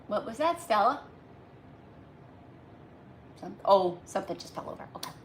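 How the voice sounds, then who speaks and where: A woman's voice: medium pitch, sing-song, moderate pace, a little hiss or hum. A woman hosting a casual livestream, chatty and rambling as she talks with her viewers. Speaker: livestream host. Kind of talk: casual live chat with viewers.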